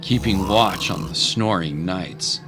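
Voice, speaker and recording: A man narrates a dramatic scripted tale in a low voice. He takes it very slowly, with loud music playing behind him.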